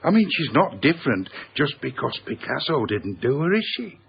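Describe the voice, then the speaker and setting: An actor playing an earthy, evasive middle-aged Yorkshire chip-shop owner in a radio drama, blunt and teasing. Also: male voice, mid-range voice, very animated, normal speed, creaky, slightly rough, lo-fi sound, heavily acted. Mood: matey, blunt.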